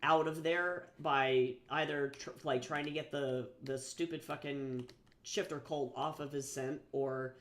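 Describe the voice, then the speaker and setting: A man's voice: medium pitch, fairly even intonation, measured pace, a bit bright. A man who is a little sick, chatting casually and rambling with swearing and fillers while drawing on a livestream. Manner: rambling, profane, many fillers and restarts. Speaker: livestreaming artist and author.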